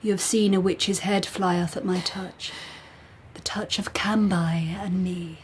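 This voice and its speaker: Woman acting a regal ghost empress, cold and cruel, slow whispery radio drama delivery, some noise behind. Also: on the low side, steady tone, lightly acted. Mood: proud, commanding. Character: ghostly Japanese empress, imperious, coldly relishing bloodshed. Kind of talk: hushed audio drama acting.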